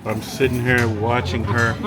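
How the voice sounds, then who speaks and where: Amused man chatting over loud music. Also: mid-range voice, flat delivery, normal speed, poor audio. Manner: amused, teasing. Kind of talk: casual vlog chat.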